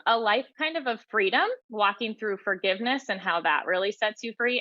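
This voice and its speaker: Bright-voiced woman, slightly dull recording. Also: medium pitch, no room sound. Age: 30s or older. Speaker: podcast guest, author and teacher. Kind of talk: reflective personal interview talk.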